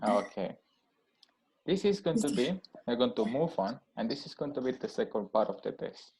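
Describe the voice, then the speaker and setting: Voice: mid-range voice, sing-song, normal speed, darkish tone, slight background noise. An examiner running a speaking exam with short prompting questions.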